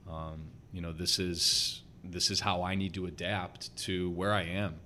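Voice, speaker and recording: A young man with a slight Chicago accent, a basketball head coach talking earnestly and quickly in a casual podcast interview, with lots of fillers. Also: low-pitched, little pitch movement, sharp tone, a little hiss or hum. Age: young adult. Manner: earnest, talks fast with many fillers.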